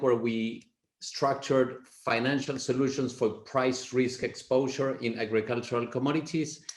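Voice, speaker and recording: A middle-aged man from Argentina, speaking as a panelist in measured, slightly halting storytelling. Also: medium pitch, darkish tone. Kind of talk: panel discussion. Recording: roomy echo.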